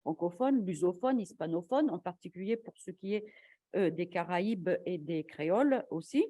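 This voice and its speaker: A woman academic giving a formal conference lecture in a measured, argumentative way, as an invited speaker. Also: on the low side, animated, mellow, dry recording.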